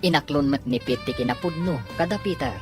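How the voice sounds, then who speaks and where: A male radio serial announcer with an Ilocano accent delivers scripted, dramatic narration. Music plays clearly behind his voice. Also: fairly high pitch, fairly quick.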